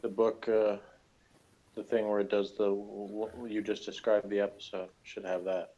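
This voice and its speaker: A man's voice: on the low side, little pitch movement, normal speed, warm tone. A man chatting casually as a guest reviewer, hesitant, rambling and flippant, on dull lo-fi audio. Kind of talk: casual chat.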